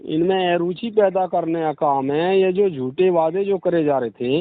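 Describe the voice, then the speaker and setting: A man's voice: sits high, unhurried. A phone-line recording of a man. He speaks informally, in an agreeable, deferential way. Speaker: union leader. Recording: tinny recorded phone call.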